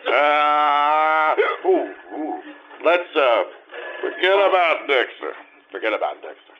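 A drunk, rambling charmer in a radio comedy, slurring and stammering at a slow pace. His pitch swings widely as he loses his thread, on a dull recording with some noise. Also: expressive. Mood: tipsy, musing. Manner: halting, stammering drunk talk. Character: tipsy, rambling, flirtatious man after a champagne party. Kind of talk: old-time radio comedy acting. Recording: dull, noisy stage radio-play recording.

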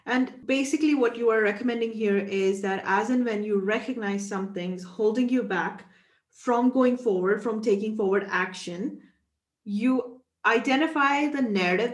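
A woman's voice: mid-range voice, normal speed, echoey room. A woman hosting a podcast interview, summarizing her guest's points and asking structured questions. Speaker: podcast host.

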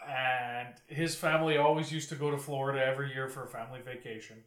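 A man's voice: mid-range voice, steady tone, fast talker, fairly bright tone, roomy sound. A man hosting a casual podcast, telling stories and posing questions in a teasing, emphatic way. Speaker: podcast host asking questions. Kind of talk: casual banter and storytelling.

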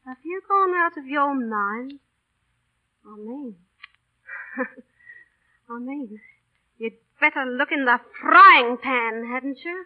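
An actress playing an ambitious, quick-tempered female street busker in an old radio drama. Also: high voice, expressive. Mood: incredulous. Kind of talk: 1940s radio play acting. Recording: tinny, band-limited old radio recording.

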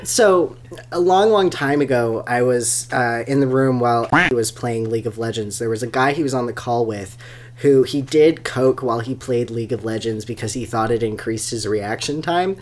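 A podcast co-host in casual, analytical chat, speaking in an animated, wry, conversational way. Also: medium pitch, normal speed.

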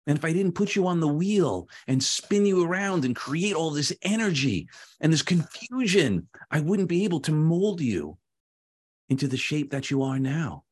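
A storyteller as a calm, unyielding master potter. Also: medium pitch, normal speed, slightly rough. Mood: patient explaining.

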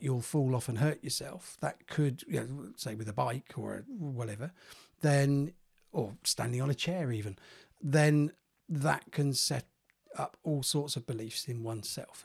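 A man co-hosting a podcast, slightly croaky from a cold, talking thoughtfully with hesitations and repeated words. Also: mid-range voice, measured pace, few pauses. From England. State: croaky, getting over a cold. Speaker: podcast co-host, therapist. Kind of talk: casual podcast chat.

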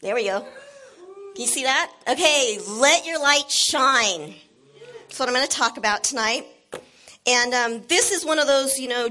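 A woman with a bright, forceful voice gives a casual sermon to her congregation. She is encouraging and enthusiastic, laughs often and sometimes gets loud and emphatic. Her voice sits a little high. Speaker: church leader giving a talk.

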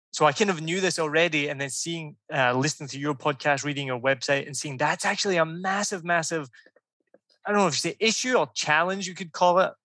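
A Scottish man with a bright, fairly high voice hosts a podcast, rambling eagerly and cutting himself off. The sound is close and dry. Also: no echo. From Scotland. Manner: enthusiastic, rambling, self-interrupting. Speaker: podcast host. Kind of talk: conversational interview.

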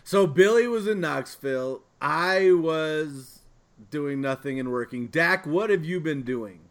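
A man's voice: fairly high pitch, animated, unhurried, fairly bright tone. A man co-hosting a podcast, talking in casual, informal chat. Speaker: podcast co-host.

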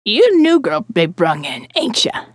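A woman voicing a croaking, preachy woman of sixty in audio fiction, scolding in folksy rustic speech. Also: medium pitch, wildly swooping pitch, measured pace, dry recording, studio quality. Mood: nosy, gruff. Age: 60s. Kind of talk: audio fiction character acting.

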